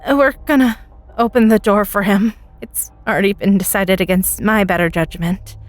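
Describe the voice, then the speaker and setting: A woman playing an anxious high school freshman girl who tells her story in a hesitant, stammering way. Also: fairly even intonation, moderate pace, soft background music, lightly acted. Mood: resigned, fearful. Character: nervous teenage freshman girl recording during a school lockdown. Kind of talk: dramatic first-person audio story narration.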